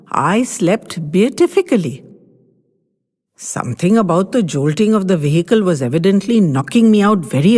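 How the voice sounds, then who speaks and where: A woman's voice: mid-range voice, darkish tone. A woman telling it as a bossy grandchild storyteller. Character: boy grandchild, confident master storyteller. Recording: long hall reverb.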